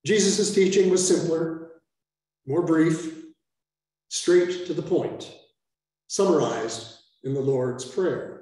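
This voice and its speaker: An older American priest giving an earnest sermon that explains the text step by step, with self-aware asides. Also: male voice, fairly high pitch, takes it slowly, a little mellow. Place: large church sanctuary. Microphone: far camera. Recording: echoey church from far off.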